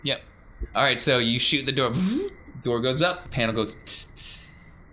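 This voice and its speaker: A man's voice: mid-range voice, a little slow, bright, forceful tone, slight background noise. A man working as game master, narrating improvised scenes in a conversational, descriptive way.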